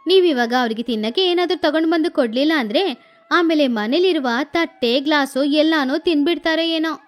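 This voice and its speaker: A woman's voice: high voice, a little fast, close to an everyday voice. Woman voicing a tart young daughter-in-law. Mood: retorting.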